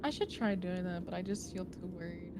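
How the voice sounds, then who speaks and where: A woman's voice: on the low side, a little fast. A woman chatting casually as she plays a game on a stream. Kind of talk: casual chat while gaming. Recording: loud music behind voice. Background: loud music.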